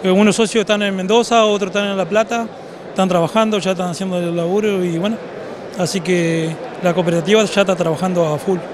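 A middle-aged man with an Argentine Spanish accent, a cooperative member interviewed at a trade fair, speaking haltingly with many restarts. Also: high-pitched, fairly even intonation, a crowd in the background. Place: indoor exhibition hall. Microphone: handheld interviewer microphone. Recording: news camera at noisy expo.

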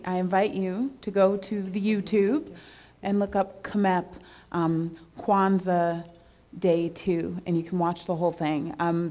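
A woman's voice: fairly low pitch, a little mellow. A woman giving a casual public talk about an arts program, with frequent affirming interjections. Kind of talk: casual presentation to a room. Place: gallery hall with projection screen.

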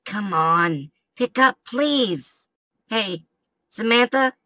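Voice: mid-range voice, lively intonation, extremely slow, pushed and bright, no echo, clean studio sound, heavily acted. A performer voicing a worried, loyal cartoon schoolboy in an amateur comic dub, earnest and anxious.

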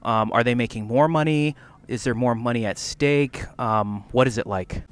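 Smooth-voiced male interview host. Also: medium pitch, moderate pace. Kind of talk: reading prepared interview questions.

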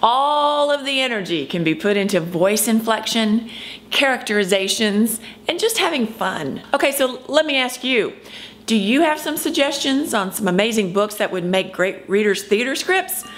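Higher-pitched woman presenting a lesson. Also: expressive, normal speed, a bit bright. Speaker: host, drama teacher. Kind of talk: scripted instructional video to camera. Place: indoor room at home.

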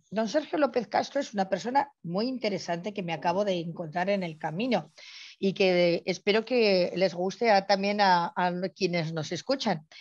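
A middle-aged woman introducing a speaker in an effusive, emphatic way with rhetorical asides, partly reading out. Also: medium pitch. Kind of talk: introduction speech, partly read out. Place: indoor room, on a video call. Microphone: earphone headset on a video call. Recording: dull lo-fi video call sound.

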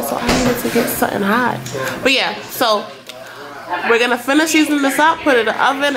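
A young woman vlogging in her home kitchen, chatting casually and listing ingredients as she cooks. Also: fairly high pitch. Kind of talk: casual cooking vlog narration. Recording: home kitchen with clear cooking noise. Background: cooking sizzle and kitchen noise.

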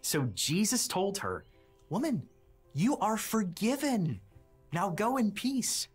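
Friendly children's storyteller, mail carrier, lively intonation, slow emphatic phrasing, soft music underneath. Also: female voice, medium pitch, breathy edge, exaggerated voice. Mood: earnest storytelling. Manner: expressive, simple, emphatic phrasing.

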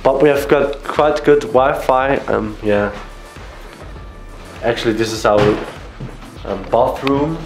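Young guy vlogging from a car, chill chatty voice, mid pitch, music in the background. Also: slow, pauses often. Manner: chatty, unsure of place names. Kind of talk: casual travel vlog narration. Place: inside a car and a small guest room.

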